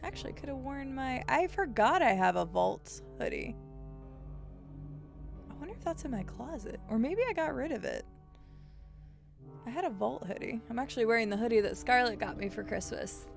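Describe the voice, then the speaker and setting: A woman livestreaming a video game, chatting casually and swearing playfully as she reacts out loud. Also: a little high, expressive, normal speed, gravelly, long pauses. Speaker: game streamer. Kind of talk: casual livestream gaming chat and commentary. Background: video game music.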